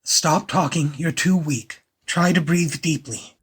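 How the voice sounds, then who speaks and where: A man playing a plucky gentleman hero in an audio play, earnest, questioning and insistent. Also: a little high, takes it slowly, breathy edge, full character voice. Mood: alarmed.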